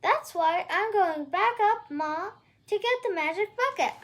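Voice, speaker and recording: Woman as high-voiced, plucky storybook cowgirl. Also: expressive, measured pace, fairly bright tone, full character voice. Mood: determined. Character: plucky, determined young cowgirl in a fairy tale.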